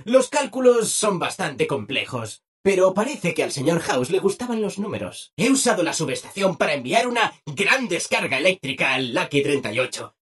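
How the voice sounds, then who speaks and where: An actor with a Castilian Spanish accent playing a relentlessly cheerful, servile robot assistant in a video game, over-eager and ingratiating. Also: male voice, fairly high pitch, very animated, normal speed, few pauses, fairly bright tone, slightly rough, exaggerated voice. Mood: upbeat explaining. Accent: Castilian Spanish from Spain. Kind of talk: video game character dialogue.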